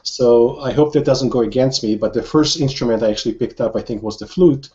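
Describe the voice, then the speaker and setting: A man's voice: mid-range voice, fairly quick, warm tone, dull audio. An older man from Israel, a guest in a conversational interview, talking enthusiastically and repeating words as he restarts sentences.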